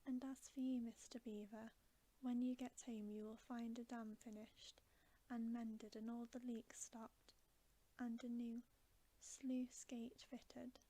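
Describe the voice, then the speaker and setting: A woman voicing a huge, solemn yet glad old gift-giver from a storybook, in a breathy, stately whisper. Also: fairly high pitch, flat delivery, dark tone, low-quality recording. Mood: generous, stately. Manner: stately, deliberate.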